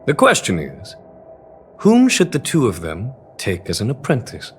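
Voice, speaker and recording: An actor playing a calm, gracious and thoughtful man in a video game, speaking politely and deliberately. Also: mid-range voice, slight background noise. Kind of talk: scripted video game voice acting.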